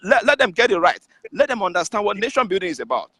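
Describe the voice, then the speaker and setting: A man's voice: sits high, sing-song, quick pace, bright, forceful tone. A man, a guest author making an earnest, insistent appeal and repeating himself on a call.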